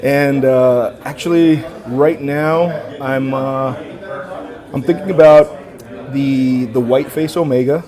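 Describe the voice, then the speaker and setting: A relaxed man in his forties circles around his thoughts, full of restarts and ums. His pitch is ordinary and middling. A loud, chatty crowd fills the background. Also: slow pace. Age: 40s. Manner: rambling, many restarts and fillers, laid-back. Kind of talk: casual conversation. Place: indoor watch boutique event. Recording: voices over a chatty crowd.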